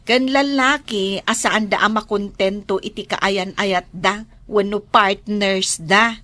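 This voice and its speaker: A woman's voice: mid-range voice, steady tone, slow pace, sharp tone, no room sound. A woman acting as a teasing, outspoken friend giving love advice in an audio drama, with an Ilocano accent. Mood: teasing, advising.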